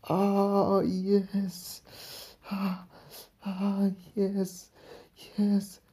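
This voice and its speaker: Slow, mellow woman moaning breathily. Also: medium pitch, completely flat delivery. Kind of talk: erotic audio roleplay.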